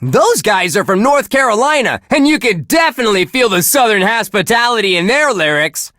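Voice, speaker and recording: A man's voice: high-pitched, sing-song, a little fast, sharp tone, no room sound, clean studio sound, exaggerated voice. An actor playing a relentlessly cheerful male radio DJ at a death metal station, gushing and wholesome in his patter. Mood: chirpy, enthusiastic. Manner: gushing, sunny, wholesome delivery. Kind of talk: upbeat radio host patter.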